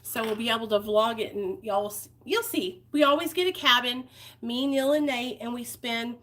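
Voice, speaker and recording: Lady late 30s, kinda high voice, rambly and heartfelt, lots of ums. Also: normal speed, a bit bright, a little hiss or hum. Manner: candid, heartfelt, rambling with fillers. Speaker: vlogger. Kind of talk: personal recovery vlog, talking to viewers.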